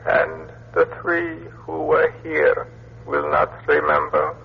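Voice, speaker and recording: Ancient alien elder, radio drama. Husky, swooping, grave delivery, dull archival sound. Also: male voice, a little high, sing-song, slow pace, heavily acted. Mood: solemn, judging. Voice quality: creaky, husky. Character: ancient alien elder judging humankind, solemn and slow. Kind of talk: old-time radio science fiction acting. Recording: muffled old radio drama recording.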